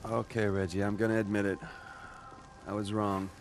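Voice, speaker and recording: A man's voice: on the low side, level tone, moderate pace, a little hiss or hum, natural voice. An actor playing a cocky, wisecracking young American male superhero in a video game, with flippant, casual delivery. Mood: casual, confident. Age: young adult.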